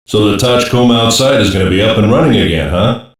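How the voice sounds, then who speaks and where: A male actor plays a gruff special-ops cyborg soldier from an anime dub. His low voice delivers blunt, slangy wisecracks with a sardonic edge. The sound is heavily echoed and sits far from the mic. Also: quick pace, lightly acted. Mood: brusque. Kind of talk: English-dub anime voice lines.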